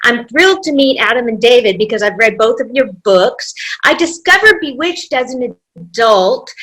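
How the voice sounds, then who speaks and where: A woman's voice: fairly high pitch, fairly bright tone, rough recording. A woman eagerly introducing herself as a fan guest, gushing about what she loves. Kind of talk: casual self-introduction.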